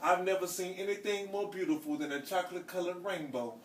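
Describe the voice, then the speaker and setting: A man's voice: sits high, fast talker, slight background noise. A young man reciting spoken word poetry in a rhythmic, emphatic way, heard from a distance in a roomy space. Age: young adult.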